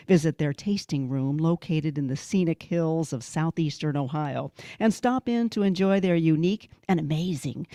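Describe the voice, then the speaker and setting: Casting: female American announcer, scripted sponsor read, warm dark tone, dry studio sound. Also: low voice, dry recording.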